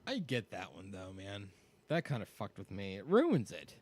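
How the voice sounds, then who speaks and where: A man's voice: lowish voice, wildly swooping pitch, normal speed, a little mellow, husky. A man in casual podcast chat, laid-back and profane, laughing along. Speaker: podcast co-host.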